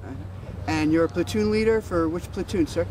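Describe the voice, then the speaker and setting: A man's voice: sits high, a little fast, a little mellow, a little hiss or hum, poor audio. A man interviewing a soldier outdoors, asking questions in a polite, deferential way. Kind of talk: field interview. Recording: crowd chatter in background.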